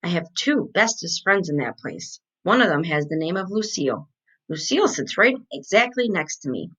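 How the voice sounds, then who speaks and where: Animated chatty little girl played by a woman. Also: fairly low pitch, normal speed, husky, muffled recording, exaggerated voice. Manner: emphatic, childish phrasing. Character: chatty, indignant kindergarten girl telling her story.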